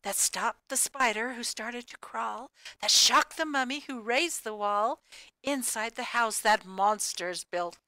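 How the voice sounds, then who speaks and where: Bright, clear woman reading a children's storybook. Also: on the high side, measured pace, no room sound. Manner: expressive, sing-song cumulative rhyme delivery with emphatic key words. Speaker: storybook reader. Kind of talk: animated children's read-aloud of a rhyming picture book.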